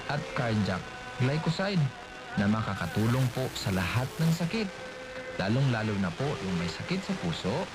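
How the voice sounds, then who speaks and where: A man's voice: medium pitch, background noise. A man with a Filipino accent presenting a scripted radio health infomercial as a practitioner. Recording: loud music bed behind voice. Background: loud music.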